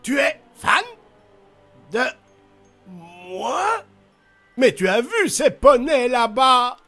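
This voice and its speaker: A performer voicing a theatrical, grandiose chaos spirit in an animated cartoon dub, mocking and self-pitying, bitter and wounded. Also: medium pitch, extremely slow, faint music behind, exaggerated voice. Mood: wounded, sarcastic.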